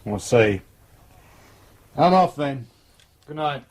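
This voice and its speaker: An actor playing a curt, cheerless senior British detective in a naturalistic radio drama, speaking tersely. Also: male voice, medium pitch, animated, slow pace. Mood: curt, dour. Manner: terse, gruff in attitude.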